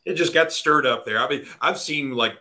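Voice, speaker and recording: A man's voice: medium pitch, moderate pace, bright, forceful tone. A man joining a podcast as a remote guest over a video call, laid-back and laughing easily.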